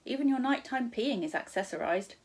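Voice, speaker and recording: A woman voicing a kindly, playful gentleman guardian from a storybook, wryly humorous and reassuring. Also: a little high, lively intonation, brisk, voice pushed up.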